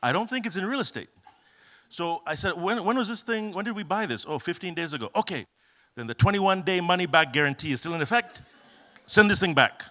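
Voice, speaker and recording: A man's voice: high-pitched, expressive, a little fast, a bit bright. A middle-aged man from Kenya giving a conference talk, telling humorous, self-deprecating stories with many fillers. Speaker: keynote speaker. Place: event hall.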